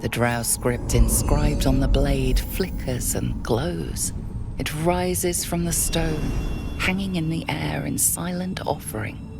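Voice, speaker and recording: A woman playing the solemn, mysterious narrator of a fantasy video game, measured and portentous. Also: deep voice, gravelly, loud music behind the voice, heavily acted. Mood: solemn, hushed. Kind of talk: scripted second-person game narration.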